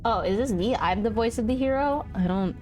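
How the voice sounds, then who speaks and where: Playful, high-pitched woman streaming a game. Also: a little fast, mellow. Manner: playful, slangy, thinking aloud. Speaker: livestreamer playing a game. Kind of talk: casual gaming stream chat, reading choices aloud. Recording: stream with game music behind.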